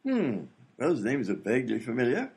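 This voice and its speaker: A man's voice: mid-range voice, wildly swooping pitch, moderate pace, gravelly, a little mellow. A man playing a fussy, absent-minded British doctor and inventor in a comedy radio play, blustering and full of hesitations. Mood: dithering, polite.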